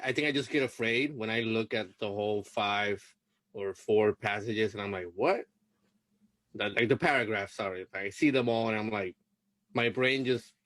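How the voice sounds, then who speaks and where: A man from Puerto Rico whose first language is Spanish, a student talking haltingly on a coaching video call with many fillers and restarts. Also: lowish voice, fairly bright tone, no echo.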